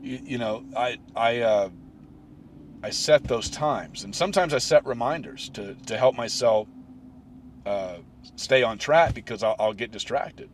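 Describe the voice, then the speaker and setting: A man's voice: mid-range voice, unhurried, slight background noise. A man in his late forties talking alone on his own podcast, rambling with many restarts and fillers. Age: 40s. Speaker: podcast host. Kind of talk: solo reflective podcast talk.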